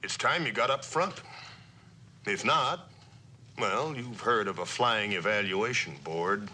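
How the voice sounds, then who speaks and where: A man's voice: lowish voice, takes it slowly, pushed and bright, heavily acted. A man acting a stern, demanding Air Force general in a vintage suspense drama, with a clipped, commanding, admonishing manner. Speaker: actor in a radio and television drama. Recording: vintage drama soundtrack with background noise.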